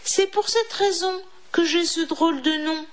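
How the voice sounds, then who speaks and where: A tiny female mouse from a children's storybook, voiced by a woman, very high in pitch. She is timid and pleading, anxious to please whoever is frightening her. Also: unhurried. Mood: pleading, eager. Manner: pleading, stammering, eager to please.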